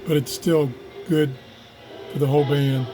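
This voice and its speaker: A man's voice: a little high, fairly even intonation, unhurried, a crowd in the background, low-quality recording. A man giving a casual, unscripted hands-on product demonstration as a reviewer, muttering to himself as he works in an office. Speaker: radio reviewer. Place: home office. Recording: clear background noise of radio static. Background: radio static and tuning noise.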